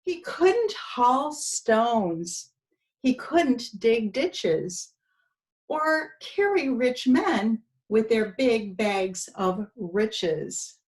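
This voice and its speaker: Slow, high-voiced woman reading a storybook. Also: very animated, low-quality recording. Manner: talks to the children with asides. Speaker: preschool teacher. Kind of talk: expressive storybook read-aloud for young children.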